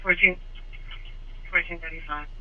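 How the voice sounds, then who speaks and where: A man's voice: fairly high pitch, steady tone, normal speed, phone-quality sound. A man working as a police dispatcher, speaking in clipped, procedural phrases over a scanner radio.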